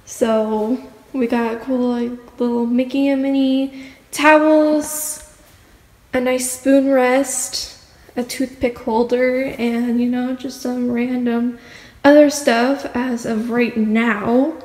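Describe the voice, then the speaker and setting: A woman's voice: fairly high pitch, fairly even intonation, slow, darkish tone. A teenage girl vlogging a casual house tour with a chatty, rambling way of talking, filmed on a phone held at arm's length in an empty house. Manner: chatty, rambling, filler-heavy. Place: empty house with bare walls, indoors. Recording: echoey empty rooms, faint room hum.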